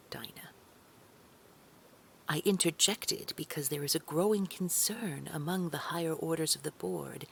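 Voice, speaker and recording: An actress playing a controlling, menacing female boss in an audio drama, with syrupy, condescending manner and a creaky voice that drops toward a whisper. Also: low-pitched, slight background noise. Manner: syrupy endearments, condescending, veiled threat. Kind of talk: scripted audio drama roleplay.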